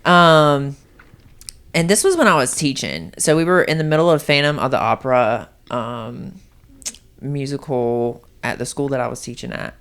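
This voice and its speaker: A young man with a high-pitched voice telling his story as a podcast guest, chatty and candid with many ums and likes. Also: normal speed, a bit bright, clear, resonant tone. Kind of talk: casual storytelling chat.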